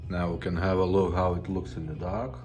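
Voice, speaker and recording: A man presenting a casual product demonstration in an informal, halting way, in short phrases. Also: deep voice, little pitch movement, music in the background. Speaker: presenter demonstrating a retro car radio.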